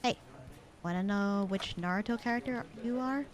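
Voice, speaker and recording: A woman playing a bored, sarcastic, slightly flirty secretary in a casual ASMR audio roleplay, with a dry, deadpan, teasing delivery. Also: medium pitch, normal speed, natural voice. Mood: dry, indifferent. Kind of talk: ASMR roleplay acting, casual one-sided conversation.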